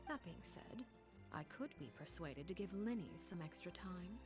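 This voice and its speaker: A woman voicing a cold, commanding noblewoman who speaks in a calm, measured, condescending way. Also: fairly low pitch, natural voice. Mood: cool commanding. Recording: dull game audio with music.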